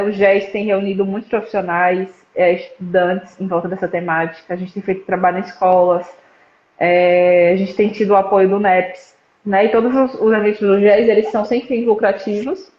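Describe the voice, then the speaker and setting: A young woman from northeastern Brazil, a psychology student, explaining her suicide prevention work in a casual live-stream chat, with frequent "né" tags. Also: mid-range voice. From Petrolina, Pernambuco, Brazil. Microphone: video call. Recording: roomy, dull lo-fi live stream audio.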